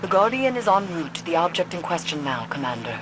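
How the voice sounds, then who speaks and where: A woman playing a grave, cryptic seer in a video game, speaking solemnly and deliberately with an ominous manner. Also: on the low side, big pitch swings, noisy background. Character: grave, cryptic female seer of dark magic. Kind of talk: video game character acting.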